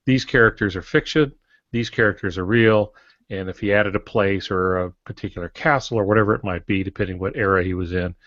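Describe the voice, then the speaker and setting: A man's voice: lowish voice, brisk, a bit bright, dry recording. A man co-hosting a podcast, giving casual writing instruction in an advisory way with short maxims. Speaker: podcast co-host and writing teacher. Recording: dry, dull lo-fi podcast audio.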